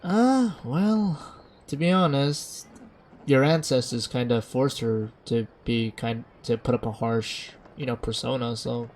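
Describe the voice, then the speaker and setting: A man's voice: mid-range voice, a little slow, a little mellow, slight background noise. A man giving casual gameplay commentary as a streamer, reacting in an offhand way. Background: game music.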